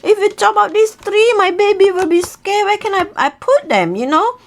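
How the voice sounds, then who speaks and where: A woman's voice: extremely high pitch, animated, moderate pace, pitched above the performer's own voice. A woman voicing a mother eagle, polite and earnest, in a storybook tale. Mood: pleading. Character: pleading mother eagle guarding her three babies.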